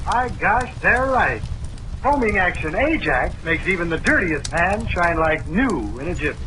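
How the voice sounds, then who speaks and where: An actor playing an astonished, eager customer in an old radio commercial. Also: male voice, high voice, sing-song, unhurried. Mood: amazed. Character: astonished, eager convert to a cleanser. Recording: noisy vintage radio jingle recording.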